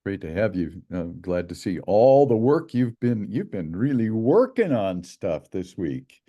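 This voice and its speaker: A man hosting a casual video call meeting, relaxed and chatty with many fillers. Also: lowish voice, measured pace, muffled recording. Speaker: meeting host, project lead.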